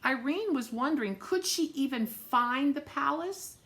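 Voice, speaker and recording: A woman reading a children's story aloud in an expressive, dramatic way, with chatty asides spoken directly to young listeners. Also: high voice, unhurried. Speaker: story-time reader for children.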